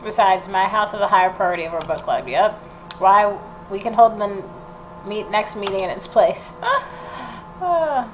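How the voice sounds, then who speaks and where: A woman's voice: mid-range voice, moderate pace, rough recording. A woman hosting a let's play, reading game text aloud and dropping in informal, reactive asides. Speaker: gaming video host. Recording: clear background noise and game ambience.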